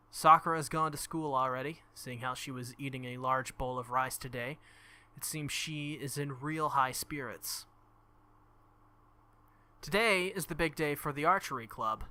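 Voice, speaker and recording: A man's voice: mid-range voice, bright, forceful tone. A man reading visual novel narration aloud and reacting casually as a gaming video host, with music behind him. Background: game music.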